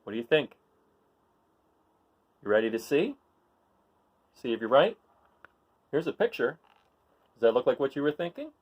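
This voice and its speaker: Man with a very animated, swooping delivery. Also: medium pitch, moderate pace, long pauses, muffled recording. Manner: encouraging, asks listeners questions. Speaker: read-aloud host for children. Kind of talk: picture-book read-aloud with guessing-game chat.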